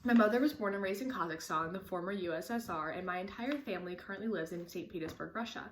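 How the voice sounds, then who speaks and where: A woman's voice: on the low side, fast talker, roomy sound, slight background noise. A teenage girl earnestly reading a prepared personal statement for a scholarship application. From United States. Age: teens.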